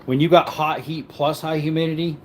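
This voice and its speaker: A man's voice: on the high side, level tone, brisk, darkish tone, a little hiss or hum. A man casually chatting to viewers while cooking at home, rambling in an easygoing way. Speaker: cooking livestream host. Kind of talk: casual live-stream chat while cooking.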